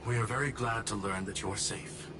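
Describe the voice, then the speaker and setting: A man's voice: fairly low pitch, normal speed, noisy background, lightly acted. An actor playing a composed, formal male expert in a video game, reporting status in clipped, precise phrases. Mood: calm, formal. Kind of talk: scripted video game dialogue.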